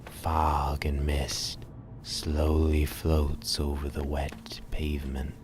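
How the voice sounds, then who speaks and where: A man narrating a Halloween radio drama in a slow, ominous, theatrical storytelling manner, with a breathy, hushed delivery. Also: very deep voice, monotone, slight background noise. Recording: music under the voice. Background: music.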